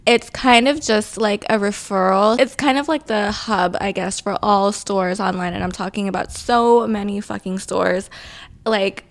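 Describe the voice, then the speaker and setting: A woman's voice: mid-range voice, unhurried. A woman hosting a podcast, talking casually and chattily and swearing freely. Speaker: podcast host.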